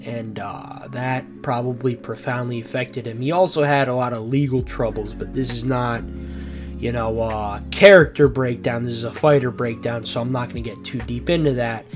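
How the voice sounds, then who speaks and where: A man with a bad cold, sounding congested, casually narrating a boxing fight breakdown as host of his channel, with slangy asides and loose restarts. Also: mid-range voice. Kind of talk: voice-over fight breakdown commentary.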